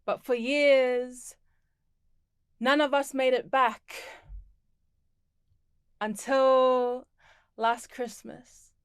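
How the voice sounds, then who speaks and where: A woman from the Torres Strait Islands and Tonga, performing a spoken word poem in a slow, measured and deliberate way. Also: high-pitched, level tone, clean tone, no room sound, studio quality. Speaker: performing poet.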